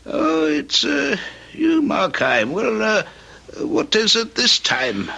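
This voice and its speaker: High-voiced, fussy old moneylender. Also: male voice, wildly swooping pitch, creaky, sharp tone, slightly rough, heavily acted. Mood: wary, fussy. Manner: hesitant, fussy, drawn-out wary phrasing. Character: wary, haggling old male moneylender. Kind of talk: old-time radio drama acting.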